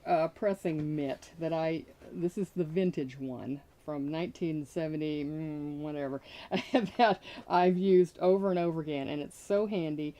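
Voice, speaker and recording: A woman's voice: low-pitched, fairly even intonation, measured pace, mellow. A woman in her sixties, from Connecticut, chatting cheerfully as a guest and showing sewing techniques, with frequent small laughs and fillers. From Connecticut, USA. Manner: cheerful, laughs often, fills with uh and um. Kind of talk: casual chat and sewing demonstration.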